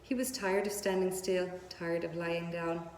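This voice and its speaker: A woman reading a children's book aloud as a storyteller, with measured, deliberate phrasing. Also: fairly low pitch, flat delivery, darkish tone. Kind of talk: scripted children's book narration. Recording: roomy hall-like echo, audible background noise.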